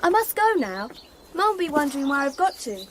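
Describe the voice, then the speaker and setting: A high-voiced, dreamy girl. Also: sing-song, a little fast, a little hiss or hum. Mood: playful. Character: young girl, dreamy, polite and curious. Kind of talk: radio drama acting.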